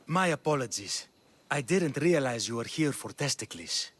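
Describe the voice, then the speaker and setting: A man's voice: medium pitch, animated, moderate pace, fairly bright tone. An actor playing a polite male wrestling trainer who talks in a courteous, advising way.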